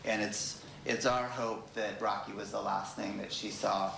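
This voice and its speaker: Prosecutor guy in court, gravelly low voice, flat, echoey room with lots of noise. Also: a little slow. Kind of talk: courtroom statement.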